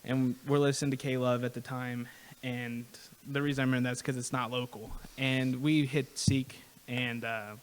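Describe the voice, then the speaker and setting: A man's voice: mid-range voice, normal speed, hardly any pauses, poor audio. Young male co-host, easygoing storyteller, slight hiss on the recording. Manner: relaxed, tells story with lots of "right?". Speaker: podcast co-host. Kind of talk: casual storytelling.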